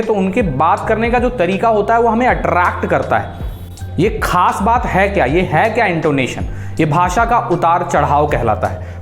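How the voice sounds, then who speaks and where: A man with an Indian accent teaching public speaking to a class, instructive, emphatic and encouraging, switching between Hindi and English. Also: sits high. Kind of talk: classroom lesson with demonstrations. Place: classroom in front of students. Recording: roomy echo with faint music.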